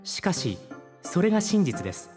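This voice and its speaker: A man playing a polite, calm mechanical guide in a Japanese superhero audio drama, speaking formally and precisely. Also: high-pitched, moderate pace, shouting, faint music behind. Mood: politely insistent.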